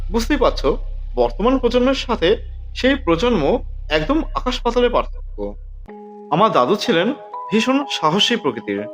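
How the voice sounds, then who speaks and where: A man hosts a storytelling podcast. His voice sits high and moves up and down a great deal as he narrates dramatically. Faint music plays underneath.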